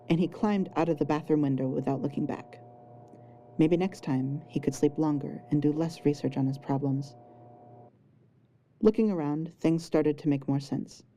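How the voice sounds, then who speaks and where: A woman's voice: deep voice, mellow. A woman narrating a scripted fan fiction story as an audiobook-style reader. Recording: home recording with faint noise.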